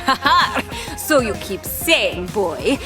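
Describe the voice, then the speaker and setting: High-voiced, feisty lady pirate captain. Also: sing-song, slow, music in the background. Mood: jovial. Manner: theatrical, jaunty, commanding. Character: bold, feisty woman pirate captain, warm-hearted swashbuckler. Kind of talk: scripted audio drama acting.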